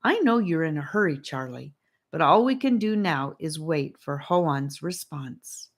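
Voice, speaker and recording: A woman voicing a calm, friendly boy who speaks assuringly. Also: deep voice, a little slow, exaggerated voice. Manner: steady, assuring.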